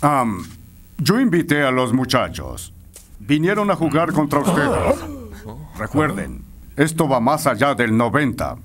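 An actor playing a stern, demanding middle-aged soccer coach who speaks in commanding speeches in a cartoon dub. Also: male voice, medium pitch, big pitch swings, measured pace, crowd noise. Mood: firm. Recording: music behind.

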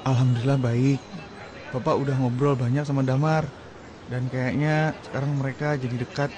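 A man's voice: mid-range voice, level tone, moderate pace, background noise, natural voice. An actor playing a reflective young man talking casually with his friend about his younger brother in a radio drama. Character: thoughtful young man Haji, Damar's older brother.

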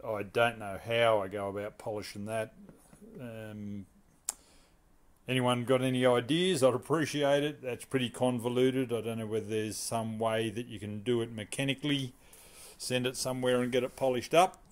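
A man's voice: mid-range voice, fairly even intonation, normal speed. A man, casually walking through his home garage workshop, rambling and asking viewers for advice. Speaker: car restoration vlogger.

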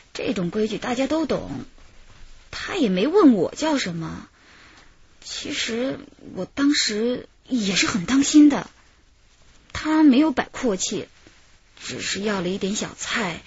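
A woman's voice: a little high, darkish tone, breathy edge, heavily acted. An actress playing a chatty, flirtatious and defensive dance-hall woman in a radio drama, a coy way of talking. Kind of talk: radio drama dialogue and recounting. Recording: slightly muffled, music underneath.

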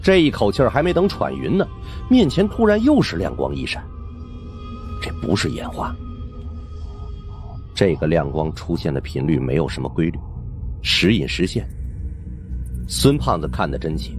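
A male audiobook narrator with a deep voice. He tells suspense fiction in a colloquial, theatrical way with very animated pitch. Music runs softly underneath. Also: moderate pace, pauses often. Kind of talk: dramatic scripted narration of a supernatural suspense novel.

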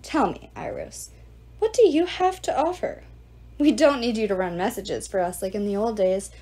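A woman's voice: mid-range voice, moderate pace, dark tone, natural voice. A woman reader voicing an arrogant, sneering male ghoul, the ghost of a suitor, talking with mocking contempt.

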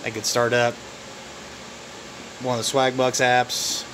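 A man giving a casual, unscripted walkthrough of his home office and phones, relaxed and halting, with plenty of fillers. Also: medium pitch, noisy background. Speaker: vlogger showing his phone farm. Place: cluttered home office full of phones and computers. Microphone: handheld camera mic, filming while walking around.

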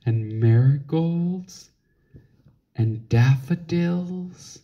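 A man's voice: on the high side, lively intonation, extremely slow. A man speaking as a parent to a small child in a casual picture-book read-along, with simple, encouraging talk. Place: indoors at home, over a book on a table. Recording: dull, lo-fi home recording.

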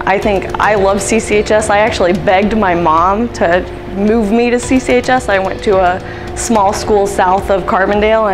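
A woman teacher answers interview questions sincerely and without much pause. Music plays clearly in the background. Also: mid-range voice, low-quality recording. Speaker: business teacher. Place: room with brick wall, indoors.

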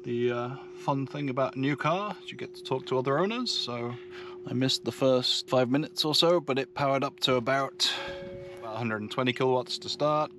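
Guy chatting offhand at a car charger, mid voice, clear noise behind him. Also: medium pitch, a little fast. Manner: relaxed, thinking aloud with fillers. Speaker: EV road trip vlogger. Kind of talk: casual running commentary. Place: in and around a car at a parking lot charging station.

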